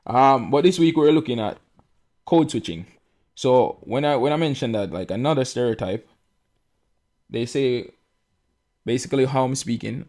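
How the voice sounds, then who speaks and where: A man's voice: medium pitch, darkish tone. A young Jamaican man co-hosting a podcast, chatting casually and explaining things with everyday examples. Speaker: podcast co-host. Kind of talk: casual chat.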